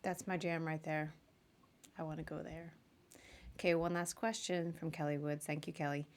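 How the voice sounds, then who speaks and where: A woman's voice: low voice, level tone, normal speed. A woman hosting a podcast, interviewing a guest in a casual, chatty and informal way. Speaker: podcast host.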